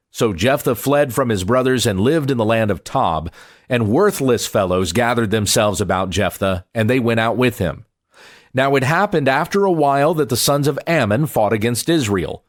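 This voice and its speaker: A man reads scripture aloud as a pastor would, working from the text. His voice sits at a middling pitch and moves widely up and down. The delivery is deliberate and measured, with clear expression in the phrasing.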